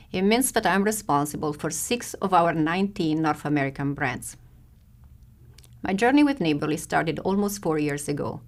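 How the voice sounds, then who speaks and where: A middle-aged woman from Italy with an Italian accent, giving a measured corporate presentation as a company president. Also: low-pitched, gravelly. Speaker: group president of home-service brands.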